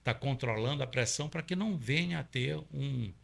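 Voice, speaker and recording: Gravelly Paraense man explaining oil safety. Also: medium pitch, a little fast, a bit bright. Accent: Paraense Brazilian Portuguese. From Pará, Brazil. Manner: earnest, explains step by step, many fillers and "né?". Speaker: guest, oil industry safety trainer. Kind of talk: explanatory interview talk.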